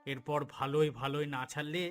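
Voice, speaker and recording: A bullying elder brother, played by a man in a cartoon drama. His voice is on the high side, grasping and threatening, set over clear music. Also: little pitch movement, brisk, close to an everyday voice. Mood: menacing. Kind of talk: cartoon villain voice acting.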